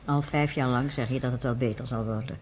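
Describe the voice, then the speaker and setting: A woman's voice: rumbling low, fairly even intonation, a little fast, a little mellow, a little hiss or hum. An actress playing an anxious, pleading pregnant mother in a radio drama.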